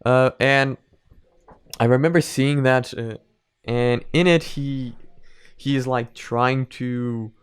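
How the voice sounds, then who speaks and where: Male reaction host, Portuguese speaker, clear smooth tone, dry close sound, halting casual commentary. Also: mid-range voice, fairly even intonation, dry recording.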